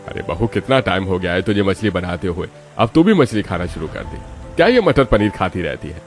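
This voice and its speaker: A man's voice: low-pitched, very animated, fairly quick, soft background music. A man playing a hearty middle-aged father-in-law in a Hindi cartoon story. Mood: nagging.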